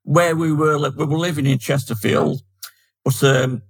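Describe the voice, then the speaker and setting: An older man with a Manchester accent, chatting easily as a podcast guest and filling pauses with um. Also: fairly high pitch, husky. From Manchester, England. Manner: chatty, friendly, fills pauses with um. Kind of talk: casual chat.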